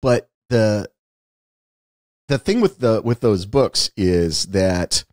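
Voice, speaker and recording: Middle-aged American male podcast host, low register, very dry close sound, rambling casual chat with the odd swear. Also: lively intonation, slow pace, darkish tone, no room sound. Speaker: screenwriter and author.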